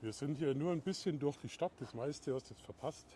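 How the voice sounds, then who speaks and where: A middle-aged man from Bavaria with a German accent, chatting casually while walking outdoors and talking to viewers in a live video, moving between German and halting English. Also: fairly high pitch, warm tone, slight background noise. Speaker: livestream vlogger. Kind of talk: casual live walk-and-talk. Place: outdoors in a town at night.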